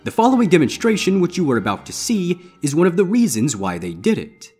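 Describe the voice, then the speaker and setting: Man doing a husky, theatrical stage magician. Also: on the high side, huge pitch swings, darkish tone, faint music behind, pitched above the performer's own voice, heavily acted. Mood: explaining, ominous. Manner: showman-like, deliberate patter. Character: theatrical male pony stage magician, smooth and ominous. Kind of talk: character voice in audiobook-style fan fiction reading.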